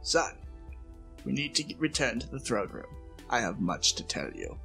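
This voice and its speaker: A man playing a frail, aged king and father in an audio drama, speaking softly, formally and with measured gravity. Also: mid-range voice, sing-song, creaky, exaggerated voice. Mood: grave, focused. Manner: soft-spoken, measured, formal. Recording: music bed behind the voice.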